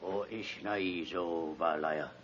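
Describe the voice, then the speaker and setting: A man playing a grim warrior holding captives in a 1950s radio western, speaking in terse, deliberate phrases. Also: low-pitched, steady tone, fairly bright tone. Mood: stern. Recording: dull, noisy 1950s radio recording.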